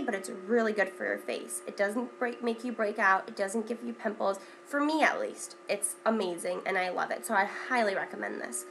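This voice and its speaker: A young woman talks through her everyday makeup routine in a loose, chatty way, her voice stuffy from a cold. She sits slightly high in pitch with a gravelly fry at the ends of phrases, and a faint hiss of noise runs under the home recording. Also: a bit bright, low-quality recording. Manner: chatty, with many ums and repeated words. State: congested from a cold. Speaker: beauty vlogger. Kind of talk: casual makeup tutorial chat.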